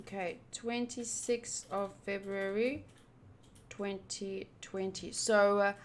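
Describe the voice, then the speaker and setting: A woman's voice: mid-range voice, fairly even intonation, slow pace, slight background noise. A woman giving casual game playthrough commentary, chatty and musing aloud as she hosts. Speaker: gaming channel host.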